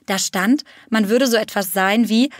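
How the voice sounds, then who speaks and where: Dry, steady woman narrating a story. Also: medium pitch, a little slow, no echo. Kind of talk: scripted first-person story reading.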